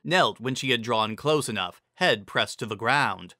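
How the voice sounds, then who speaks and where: Bright, animated male storyteller. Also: medium pitch, a little slow, no echo, studio quality. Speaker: narrator. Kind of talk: dramatic scripted storybook narration.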